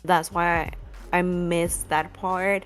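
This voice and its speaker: A woman's voice: lowish voice, slow. A woman with a Mexican Spanish accent, a native Spanish speaker raised in El Paso, telling stories candidly as a guest in a casual podcast chat over a video call from her room at home. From El Paso, Texas. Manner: lively, candid storytelling with laughs. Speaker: guest, cosplayer and model.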